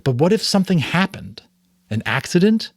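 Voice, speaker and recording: A man's voice: high voice, very animated, normal speed, dry recording, clean studio sound, voice pushed up. A man voicing an earnest, anxious and curious adolescent boy in an audiobook, with an eager, questioning manner. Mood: anxious, insistent. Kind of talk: audiobook character reading.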